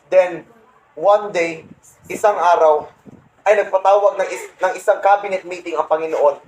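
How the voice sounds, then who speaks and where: A man's voice: high-pitched, darkish tone. A young man playing a boastful, resentful Satan, the fallen angel, in a comedic radio play, pompous and scornful. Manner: pompous, scornful, punctuated with cackling laughs. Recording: roomy echo, faint background noise.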